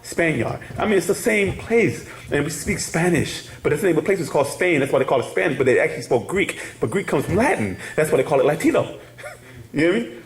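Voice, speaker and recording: A man's voice: a little high, sing-song, a little fast, reverberant. A man teaching a casual class, rambling and laughing, often asking "you hear me?". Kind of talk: casual spoken class lecture.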